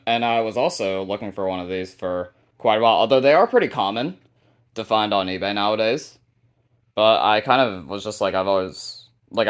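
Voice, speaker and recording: Low-voiced man casually presenting. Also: clean tone. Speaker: hobbyist video host. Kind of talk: casual collection showcase narration.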